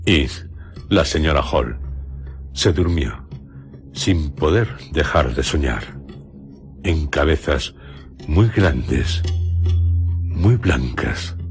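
A man with an extremely deep voice hosts and narrates a radio drama. He takes his time, placing short literary phrases with deliberate, suspenseful pauses. Faint music plays behind him. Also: animated.

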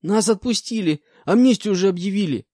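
A man's voice: sits high, animated, fairly quick, darkish tone, dry recording, studio quality, voice pushed up. A man voicing a whiny young male convict with a scraggly beard, pleading in panic.

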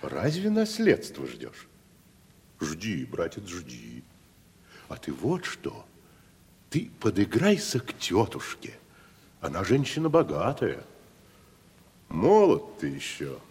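An actor playing a pompous, worldly wandering gentleman who declaims theatrically in a classic Russian radio drama. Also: low-pitched, huge pitch swings, slow, long pauses, breathy edge, full character voice. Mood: mocking, philosophical. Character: worldly, theatrical wandering gentleman, grandiloquent nephew of a rich landowner. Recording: long hall reverb.

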